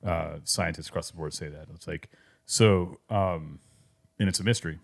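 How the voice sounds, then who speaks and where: A man co-hosting a podcast, thinking out loud in circling phrases with sudden bursts of emphasis. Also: deep voice, normal speed, gravelly. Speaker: podcast co-host. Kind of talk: casual podcast chat.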